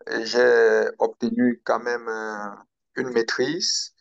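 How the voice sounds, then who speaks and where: A young man from Cameroon, speaking slowly and hesitantly as an interview guest about his own story. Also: medium pitch, little pitch movement, vocal fry, dull audio. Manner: slow, hesitant, with pauses and restarts. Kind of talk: interview, personal account.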